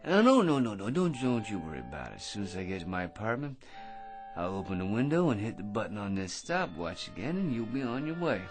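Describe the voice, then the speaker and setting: An actor playing a pushy, grandiose crackpot who rambles, stammers and repeats himself in a radio drama. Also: male voice, fairly low pitch, very animated, brisk, over music. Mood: gloating. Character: chattering crackpot man, grandiose, pushy and self-satisfied.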